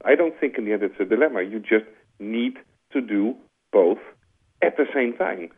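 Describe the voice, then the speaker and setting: A man's voice: on the low side, expressive, moderate pace, slightly rough. Dutch-accented man on a phone line. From Netherlands. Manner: conversational, uses "like" as a filler, makes his points with vivid analogies. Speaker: interview guest, corporate strategy executive. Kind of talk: explanatory interview answers. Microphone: telephone.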